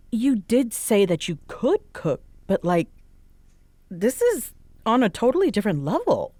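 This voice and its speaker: A woman's voice: mid-range voice, warm tone, no echo. A woman playing a tired, sarcastic bartender roommate who teases but is secretly fond, in an intimate roleplay audio. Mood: surprised, flustered. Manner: sarcastic, teasing, hesitant when sincere. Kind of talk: roleplay audio acting, intimate one-sided conversation.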